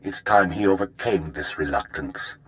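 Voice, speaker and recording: A man playing a stern, formal intelligence chief in a video game, giving measured, authoritative orders. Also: low voice, lightly acted. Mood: formal, businesslike. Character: stern male imperial intelligence chief briefing an agent. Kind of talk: scripted video game dialogue.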